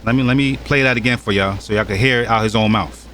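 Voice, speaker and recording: A man's voice: fairly low pitch, quick pace, a bit bright. A man from the United States hosting a reaction commentary, mocking and challenging the clips he plays, with faint noise behind him. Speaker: commentary channel host.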